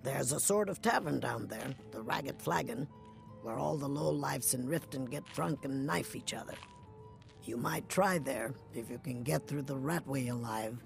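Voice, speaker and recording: An actor playing a gruff, world-weary tavern keeper in a fantasy video game, gravelly, blunt and offhand. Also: male voice, medium pitch, normal speed, soft background music.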